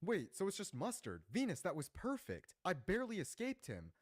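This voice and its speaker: A man's voice: high voice, huge pitch swings, moderate pace. A man arguing his case in a social-deduction game, slangy and incredulous in manner. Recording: loud music behind the voice. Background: loud music.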